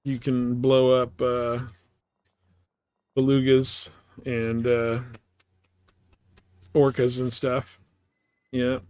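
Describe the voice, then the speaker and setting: A man streaming a game live, chatting casually and thinking out loud in a rambling way. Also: mid-range voice, monotone, drawn-out words, pauses often, smooth. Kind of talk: casual gameplay chat.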